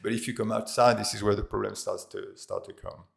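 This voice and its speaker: A man's voice: on the low side, steady tone, brisk, gravelly. A middle-aged man with a French accent talks casually to camera, hesitant and full of fillers and restarts. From France and Australia. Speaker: expat vlogger.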